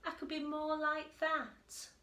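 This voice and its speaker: A woman's voice: high voice, completely flat delivery, slow, pushed and bright, a little hiss or hum, pitched above the performer's own voice, exaggerated voice. A woman voicing a tiny, meek but eager male mouse in a children's storybook, earnest and emphatic. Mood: wishful. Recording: roomy, echoey indoor sound.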